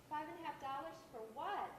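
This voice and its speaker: A high-voiced teenage girl acted by a young woman plays the prodding, concerned best friend in a school stage play. The room echoes and faint noise sits on the tape. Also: fairly quick, natural voice. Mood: awkward, polite. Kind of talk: amateur one-act stage play.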